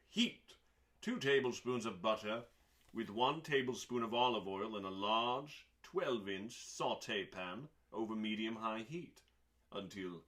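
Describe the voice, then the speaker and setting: A man reading recipes aloud as a host, in a dramatic audiobook style with deliberate pauses and emphasis. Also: medium pitch, a bit bright.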